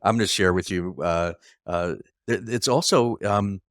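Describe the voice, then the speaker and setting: A man's voice: deep voice, a little slow, dry recording, clean studio sound. A man hosting a conversational interview, speaking hesitantly as he searches for his words. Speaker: interviewer, host.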